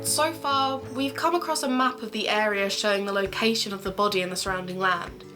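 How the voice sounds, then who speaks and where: A woman's voice: mid-range voice, fairly quick, over loud music, lightly acted. A woman playing a curious, upbeat amateur sleuth and podcaster in an audio drama, speaking in a friendly, informal way. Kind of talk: conversational audio drama acting.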